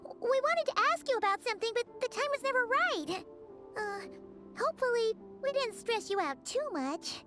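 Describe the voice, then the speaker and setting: A tiny fairy companion from a video game with an extremely high, childlike voice. Her delivery swings up and down eagerly, full of little exclamations. Music plays clearly underneath. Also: animated, moderate pace. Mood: sheepish, apologetic. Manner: refers to self in third person, exclamatory. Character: small chirpy childlike female fairy companion, eager and caring. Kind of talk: video game character acting.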